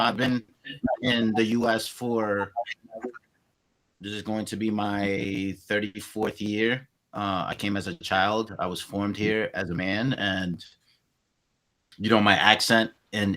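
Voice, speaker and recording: Brief: podcast guest, man in his forties, Colombian-born, Spanish-inflected accent, low-ish, forceful voice, reflective and searching for words. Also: fairly even intonation, takes it slowly, low-quality recording. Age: 40s. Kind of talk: casual long-form conversation.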